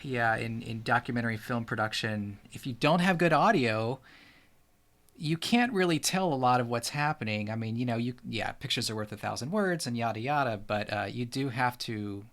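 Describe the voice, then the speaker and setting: A man's voice: medium pitch, expressive, normal speed, fairly bright tone. A man hosting a podcast, moving between prepared introduction and casual conversation with guests. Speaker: podcast host.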